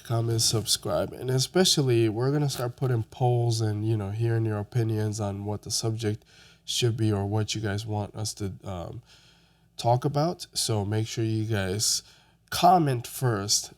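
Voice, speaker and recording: A man's voice: lowish voice, a little mellow. A young man raised in Japan, co-hosting a podcast and speaking reflectively with many fillers. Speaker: podcast co-host. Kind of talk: casual podcast conversation.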